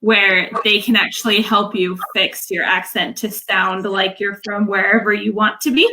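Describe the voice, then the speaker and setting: A woman's voice: mid-range voice, steady tone, moderate pace, bright, forceful tone, poor audio. A young woman from Utah, a language coach, explaining her work earnestly in a casual livestream interview, often starting with "so" and "um". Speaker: English language coach, guest.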